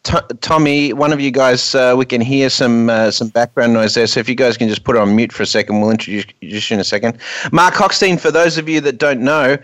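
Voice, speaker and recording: A man's voice: medium pitch, quick pace, a bit bright, no room sound. A man hosting an unscripted radio show from a studio, often restarting and repeating words as he goes.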